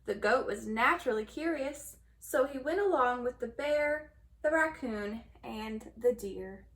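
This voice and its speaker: A woman reading a children's story aloud in an expressive storytelling manner. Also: fairly high pitch, a little slow. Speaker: storybook reader.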